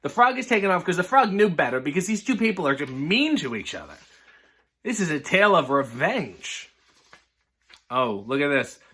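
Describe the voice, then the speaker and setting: Male comic storyteller, high and bright, wryly digressing from the children's book he reads. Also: big pitch swings, normal speed, slightly rough. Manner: mock-concerned, wry, digressive. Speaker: host reading a children's book aloud. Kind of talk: comic storybook reading with running commentary.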